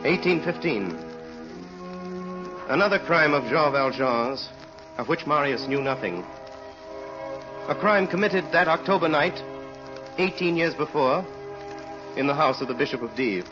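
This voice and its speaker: A man narrating an old radio drama as a solemn, brooding storyteller, measured and rhetorical. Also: a little high, lively intonation. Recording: muffled old radio recording with music.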